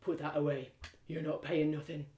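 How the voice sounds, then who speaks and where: A woman's voice: low-pitched, little pitch movement, normal speed, warm tone, reverberant, voice pushed down, natural voice. A woman voicing a kind, stubborn young man, an innkeeper's son with plain rustic phrasing, in a storybook. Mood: kind, insistent.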